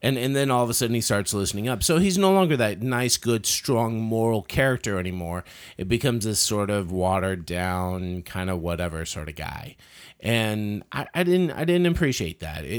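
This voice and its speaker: Animated man in casual film discussion. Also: fairly low pitch, moderate pace. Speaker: podcast panelist.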